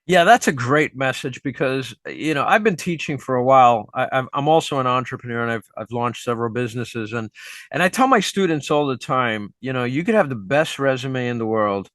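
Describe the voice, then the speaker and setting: A man's voice: medium pitch, animated, fairly quick, a bit bright, dry recording. A middle-aged man hosting an interview, a teacher who talks in a chatty, reflective way. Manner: chatty, reflective, many fillers. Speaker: host and teacher. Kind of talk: interview questions and comments.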